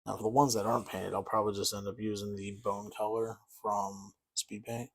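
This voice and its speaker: A man's voice: lowish voice, flat delivery, brisk. A man chatting casually and rambling a bit as he paints miniatures, easygoing and self-deprecating. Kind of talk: casual chat while painting.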